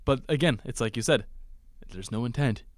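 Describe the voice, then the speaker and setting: A man's voice: mid-range voice, normal speed, no echo, clean studio sound. A man co-hosting a casual live podcast, agreeable and adding short affirmations. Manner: agreeable, lots of short backchannels. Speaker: podcast co-host.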